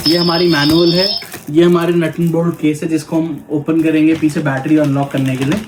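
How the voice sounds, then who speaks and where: An Indian man giving a casual product demo as a toy shop presenter, explaining step by step and mixing Hindi with English. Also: a little high. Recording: echoey room, background noise and clicking.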